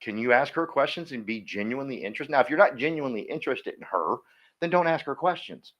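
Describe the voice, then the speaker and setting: A man coaching a live workshop audience, energetic and folksy, constantly quizzing the room and drawing answers out of people. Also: mid-range voice, fast talker. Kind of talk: interactive seminar teaching.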